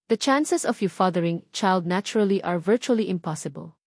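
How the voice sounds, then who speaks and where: A woman narrator voicing a formal doctor in a story, grave and measured. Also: on the low side, animated, dry recording, clean studio sound.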